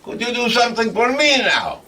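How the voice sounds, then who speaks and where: Casting: jolly crude comic host, broken English, bright forceful voice, big pitch swings, slightly distant mic. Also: male voice, moderate pace, heavily acted. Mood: animated storytelling. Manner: broken grammar, chuckling, animated storytelling. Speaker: comedy show host. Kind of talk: comic monologue in character.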